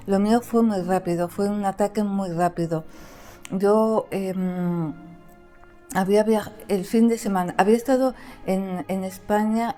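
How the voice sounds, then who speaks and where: A woman guest who cannot pronounce the letter R, telling her story plainly with many pauses and fillers. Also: medium pitch, warm tone. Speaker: interview guest. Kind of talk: conversational storytelling.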